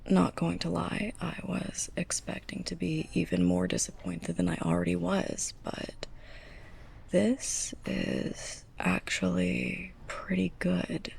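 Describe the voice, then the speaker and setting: Woman acting a creaky, breathy, sarcastic young librarian's assistant. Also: lowish voice, huge pitch swings, slow pace, a little mellow, exaggerated voice. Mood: grudging. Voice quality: breathy, creaky, often near whisper. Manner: sarcastic, exasperated, pointed delivery. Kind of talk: ASMR roleplay, close-up scripted monologue.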